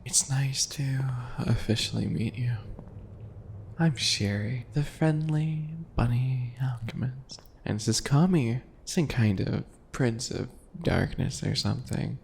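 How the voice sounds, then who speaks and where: A man voicing a smug, teasing, obsessive bunny alchemist in an ASMR roleplay, taunting in a mocking, drawn-out, breathy way. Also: mid-range voice, darkish tone, exaggerated voice. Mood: sweetly possessive.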